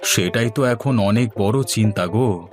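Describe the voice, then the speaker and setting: A man's voice: fairly low pitch, unhurried, soft background music, natural voice. Man acting a middle-aged village father in a cartoon. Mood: fretful, chiding. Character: middle-aged village father, anxious to marry off his daughter. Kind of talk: Bengali moral story cartoon dialogue.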